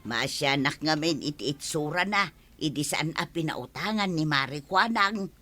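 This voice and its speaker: A woman acting a kind-hearted older village neighbour, gentle and forgiving, with an Ilocano accent in a comedy radio drama. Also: deep voice, lively intonation, normal speed, faint music behind, heavily acted. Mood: unbothered. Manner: gentle, forgiving, matter-of-fact.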